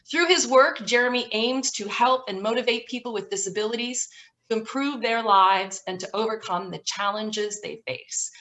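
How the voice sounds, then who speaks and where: A woman as event presenter, higher bright voice, brisk scripted intro, on a video call. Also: poor audio. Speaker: event organizer introducing the guests. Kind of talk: reading a prepared introduction.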